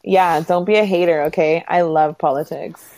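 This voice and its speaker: A woman co-hosting a casual podcast chat, with a hoarse, nasal voice. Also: on the low side, steady tone, normal speed, darkish tone, no echo. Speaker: podcast co-host.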